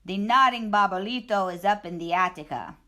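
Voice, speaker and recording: A woman voicing a big, laid-back male chihuahua leader in a storybook, with a lower drawling delivery. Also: a little fast, bright, forceful tone, lightly acted. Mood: urgent.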